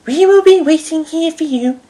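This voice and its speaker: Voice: extremely high pitch, moderate pace, warm tone, voice pushed up. A performer voicing a friendly, reassuring grown woman in a children's storybook reading, polite in manner.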